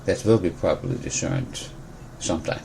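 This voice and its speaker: A man explains medical matters in measured, careful sentences. He often stops to correct and rephrase himself for precision, and a faint hiss sits under his words. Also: low voice, huge pitch swings, gravelly, a little mellow, low-quality recording. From Turkey. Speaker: physician, disease expert. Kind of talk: explanatory medical interview answers.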